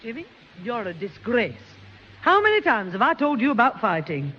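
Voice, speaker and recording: A woman's voice: a little high, big pitch swings, normal speed, sharp tone, husky, exaggerated voice. An actress playing a stern, exasperated mother, firmly scolding in a 1960s radio comedy. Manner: scolding, firm. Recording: muffled, noisy 1960s radio recording, hall reverb.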